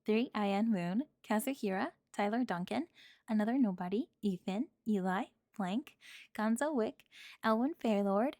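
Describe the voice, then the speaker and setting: A woman speaking as the host of an ASMR channel, reading a scripted sign-off and a list of shout-outs. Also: medium pitch, moderate pace, dry recording, clean studio sound.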